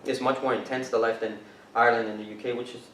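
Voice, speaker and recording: A man's voice: medium pitch, level tone, fast, fairly bright tone, slight background noise. A man from northeast Brazil with a Brazilian Portuguese accent, talking casually as a jiu-jitsu instructor, rambling with many fillers and restarts. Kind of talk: casual chat. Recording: roomy, distant mic, faint background.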